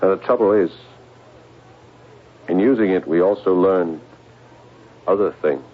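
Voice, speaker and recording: An actor in a 1970s radio drama playing an agitated, paranoid middle-aged intelligence agent, intense and halting in delivery. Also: male voice, low voice. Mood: earnest, confiding. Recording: muffled, noisy old radio broadcast.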